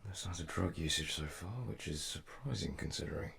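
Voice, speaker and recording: A man's voice: low voice, a little fast, creaky, fairly bright tone, slight background noise. A man playing a curious, self-questioning time traveller in an audio drama, musing in a wry, hesitant way. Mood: curious, wary. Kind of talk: audio drama monologue and dialogue. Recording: music under the voice.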